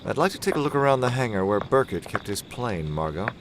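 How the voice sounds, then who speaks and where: Deep voiced old-time radio mystery hero, calm n commanding, hypnotist detective type, noisy background. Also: male voice, fast. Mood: determined, confiding. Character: calm, shrewd male crime-fighting detective with hypnotic powers. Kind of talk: 1940s-style radio drama acting.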